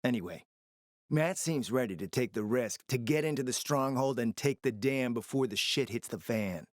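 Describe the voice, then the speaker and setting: A man's voice: medium pitch, moderate pace, no echo, studio quality, lightly acted. An actor playing a scheming, foul-mouthed man in a post-apocalyptic video game, confident and calculating, with an emphatic, sardonic delivery. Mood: calculating, persuasive. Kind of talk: dramatic video game dialogue.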